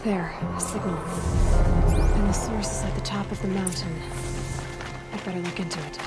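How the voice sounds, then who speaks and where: A woman's voice: medium pitch, fairly even intonation, normal speed, natural voice. An actress playing a curious, determined young outlander woman in a video game, with natural, thoughtful delivery. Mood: pensive, determined. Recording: loud music behind the voice.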